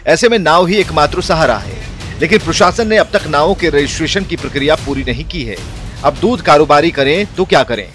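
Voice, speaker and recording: A man reading a scripted news voice-over in a steady, formal way. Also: on the high side, a little fast, soft background music. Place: studio voice-over.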